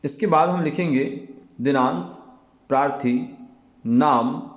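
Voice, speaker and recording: A man teaching an exam lesson in a deliberate, explanatory way, repeating key phrases as he goes. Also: medium pitch. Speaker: Hindi teacher. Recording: echoey, reverberant room.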